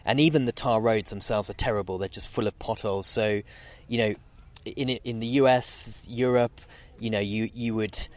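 A man's voice: on the low side, fairly even intonation, moderate pace. A man in his forties from Zambia, a guest oceanographer, talking casually and hesitantly with frequent restarts and "sort of". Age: 40s. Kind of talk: casual interview.

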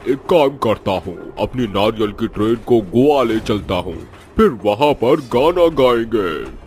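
A man's voice: fairly low pitch, expressive, a little slow, darkish tone, soft background music. A man voicing a carefree, flirtatious male ghost in a comic horror cartoon, with a teasing, cajoling manner.

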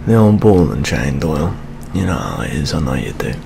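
A young man playing a jaded, world-weary British police detective in an ASMR roleplay, speaking in a hushed, deliberate manner. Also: a bass voice, gravelly, exaggerated voice. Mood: wry, weary. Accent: British English. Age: 20s. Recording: steady rain ambience noise. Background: rain sounds.

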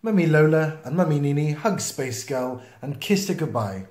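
A man reading a children's picture book aloud in an expressive, playful manner. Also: a little high. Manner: expressive, slow, with playful sound effects and asides. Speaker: storytime reader. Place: small indoor room, seen close to the camera. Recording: roomy, echoey home video sound.

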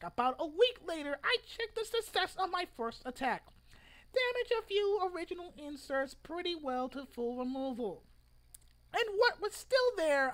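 Casting: young man playing a pompous, whiny male internet protester for a mock dramatic reading; very high, bright, swooping delivery, stilted and indignant. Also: exaggerated voice. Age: young adult. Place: living room at home, indoors.

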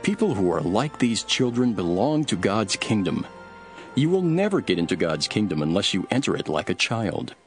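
A solemn teacher of parables in a scripture audio drama. The voice sits fairly low and moves expressively as he teaches in a measured way. Soft music runs behind him. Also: big pitch swings. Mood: firm teaching.

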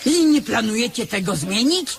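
An actress playing an inquisitive, sceptical female client who questions deliberately, in a radio comedy drama with noisy ambience behind her. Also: a little high, big pitch swings, creaky. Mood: probing.

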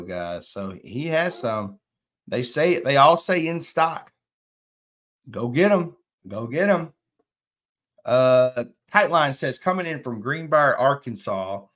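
A man's voice: mid-range voice, fairly bright tone. Chatty man hosting a live stream. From Texas. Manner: chatty, drawling, reads viewer comments aloud. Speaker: fishing channel host. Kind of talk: casual live stream chat reading comments. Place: indoor room, plain wall.

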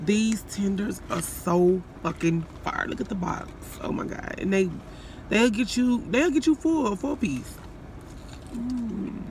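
A woman in her thirties chatting casually and slangily to viewers as a vlogger, animated and often eating, filming herself inside a car. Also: mid-range voice, takes it slowly. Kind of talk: casual vlog chat. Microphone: phone at arm's length. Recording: noisy in-car phone recording.

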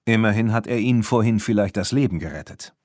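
A man voicing a stern but fair marquis in an audiobook, formal, firm and deliberate. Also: fairly low pitch, darkish tone, dry recording, clean studio sound, close to an everyday voice. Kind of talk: audiobook character reading.

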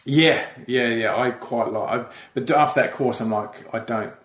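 A middle-aged Australian comedian chatting casually, rambling and self-deprecating, often trailing off mid-thought. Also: male voice, medium pitch, moderate pace, a bit bright. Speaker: comedian guest. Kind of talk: casual chat. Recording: echoey room.

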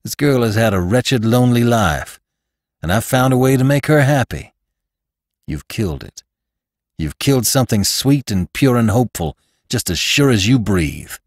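A man's voice: medium pitch, lively intonation, normal speed, no room sound. An earnest young man speaks with grief and reproach, as a male reader puts him on. His tone is plain and heartfelt, recorded close and dry. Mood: reproachful. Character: grieving, indignant young man.

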